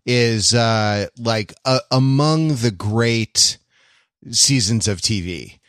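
American man, middle-aged, medium pitch, dry recording, casual podcast talk, digressive, halting, enthusiastic. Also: a bit bright, smooth, no room sound. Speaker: podcast co-host.

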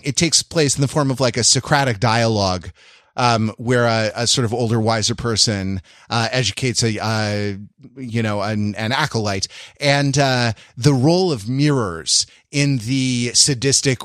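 A man's voice: mid-range voice, a bit bright, no room sound, clean studio sound. A middle-aged man chatting as a podcast host in a casual, intellectual way, wry and digressive, often searching for his words. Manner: erudite, wry.

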